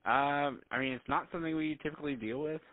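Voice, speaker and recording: A man speaking as a doctor on a phone call, polite, halting and hesitant. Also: fairly high pitch. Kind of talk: phone consultation. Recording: tinny phone line.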